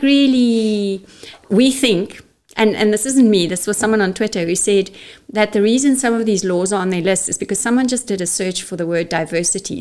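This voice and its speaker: A woman from South Africa whose first language is Afrikaans, speaking as an interview guest in an outspoken, emphatic way with frequent restarts. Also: a little high. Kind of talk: interview conversation.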